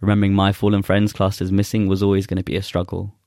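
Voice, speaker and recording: Deep, flat-voiced young man. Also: dry recording, clean studio sound. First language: Urdu. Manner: earnest, halting with many fillers. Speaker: poet guest. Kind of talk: casual chat and spoken-word poetry recital.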